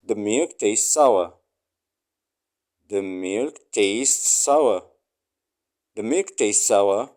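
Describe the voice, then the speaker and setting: A man reading short English phrases aloud for learners, saying each one three times slowly and clearly. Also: fairly low pitch, expressive, darkish tone.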